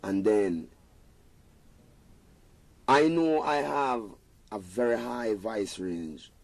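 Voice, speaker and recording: A man's voice: fairly low pitch, very slow, gravelly, no echo. A Jamaican man, a reggae singer being interviewed, talking in an earnest, rambling way with patois phrasing. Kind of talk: casual interview talk.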